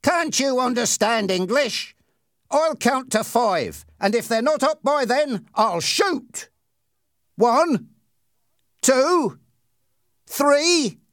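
A man's voice: extremely high pitch, animated, extremely slow, pauses often, no room sound, clean studio sound, voice pushed up, heavily acted. A man voicing a cruel, bossy boy bully who barks threatening orders in an audiobook story. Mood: angry shouting. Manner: shouting, threatening commands.

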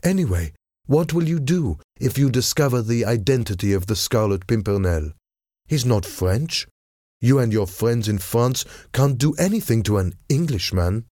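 A man's voice: medium pitch, very animated, measured pace, mellow, no echo, voice pushed up. A man voicing a proud, guarded French lady in an audiobook, polite but firm. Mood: firm, defiant.

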